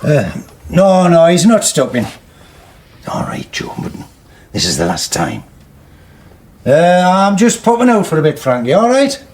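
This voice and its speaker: A combative working-class brother from in a radio drama. His pitch sits a little high for a man and swings widely as he argues bluntly. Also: very animated, a little slow, long pauses, darkish tone. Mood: guarded. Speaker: older brother.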